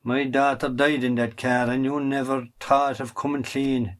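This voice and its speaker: A man acting a grieving, reproachful older father in an amateur drama, speaking gravely. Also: medium pitch, level tone, unhurried, lightly acted.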